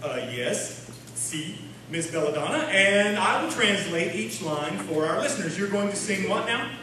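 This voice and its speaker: A man plays a folksy small-town radio host in a comedy show, bumbling along in a high voice. He is picked up from afar in a very echoey hall. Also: expressive, measured pace. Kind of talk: comic live radio-show hosting. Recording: echoey noisy hall, distant microphone.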